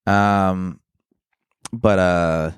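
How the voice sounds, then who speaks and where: A man's voice: sits low, steady tone, extremely slow, clean tone, dry recording. A man chatting casually as a comedy podcast co-host, with a slangy, crude, joking manner.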